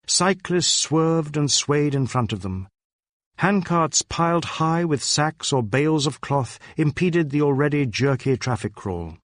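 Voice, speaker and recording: A man reading a detective novel as a narrator, in a measured, deliberate storytelling manner with careful phrasing. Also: on the high side, expressive, no echo, clean studio sound. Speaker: audiobook narrator.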